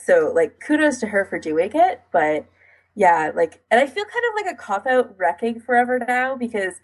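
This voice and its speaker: A woman podcast co-host with a husky, slightly rough voice. She talks in a casual, informal way with lots of "like", as in a chat between hobbyists. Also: on the high side, big pitch swings, moderate pace. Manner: informal, frequent "like" as filler.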